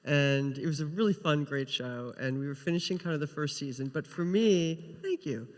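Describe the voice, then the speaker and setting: A woman's voice: low voice, moderate pace, a little mellow. A woman who writes for television, telling anecdotes as a guest on a panel. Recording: echoey hall with long reverb.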